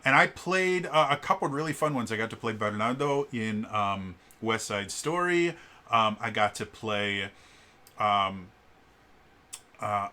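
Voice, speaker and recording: Man, deep voice, bright tone, casual review talk, stutters on words, lots of fillers. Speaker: tabletop RPG reviewer.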